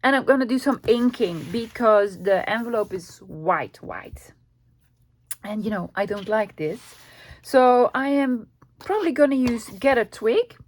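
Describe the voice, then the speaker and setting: A woman's voice: on the high side, lively intonation, unhurried. A woman from the Netherlands with a Dutch accent, chatting casually at her craft desk as host of her channel, rambling with many ums and asides. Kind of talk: casual craft tutorial chat.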